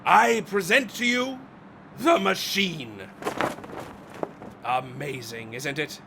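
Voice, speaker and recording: An actor playing an obsessive, defiant scientist in a radio drama, with a grandiose, declamatory and intense delivery. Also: male voice, a little high, takes it slowly, vocal fry, background noise. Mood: excited, triumphant. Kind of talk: theatrical radio drama acting.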